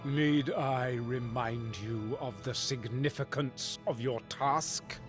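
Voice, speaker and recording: A man's voice: mid-range voice, steady tone, slow pace, over music, close to an everyday voice. A man voicing a stern, commanding god-king in a video game cutscene, grave and demanding. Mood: stern, reproachful.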